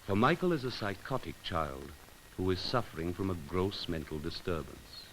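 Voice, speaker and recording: A man reading scripted narration for an educational film, in a measured, solemn and poetic way with deliberate pauses. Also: low voice, sing-song, fairly bright tone, slight background noise, low-quality recording. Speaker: documentary narrator.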